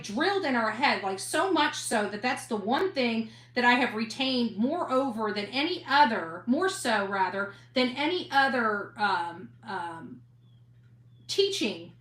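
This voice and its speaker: A woman with a bright, forceful and fairly high voice speaks in a blunt, defiant monologue to her followers. She stresses her points like a reporter standing her ground. The recording has a noticeable roomy echo. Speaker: online investigative reporter and host. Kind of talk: impassioned monologue to online audience.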